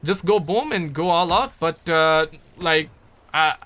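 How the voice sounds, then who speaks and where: Bright-voiced man chatting on stream. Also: on the high side, a little slow. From Pakistan. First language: Urdu. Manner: rambling, repeats words and restarts often, playful. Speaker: livestreamer. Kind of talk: casual livestream chat, reading viewer comments aloud.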